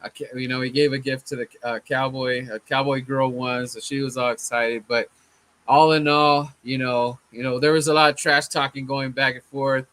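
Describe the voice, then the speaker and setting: Slightly hoarse man chatting casually. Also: medium pitch, level tone, moderate pace, a bit bright. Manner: laid-back, says "man" a lot. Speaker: fan channel host. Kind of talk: casual sports chat.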